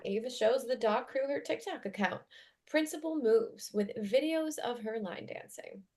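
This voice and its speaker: A woman's voice: fairly high pitch, expressive, moderate pace, darkish tone. A woman reading screenplay stage directions as scripted narration at a table read.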